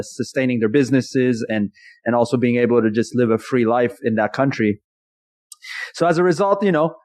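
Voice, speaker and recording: A man in his thirties, originally from Iran, chats as a comedian guest in a jokey, animated way and slips into laughter mid-sentence. His pitch sits in a middle range. The sound is dry and close, with no room echo. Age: 30s. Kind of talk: casual interview storytelling.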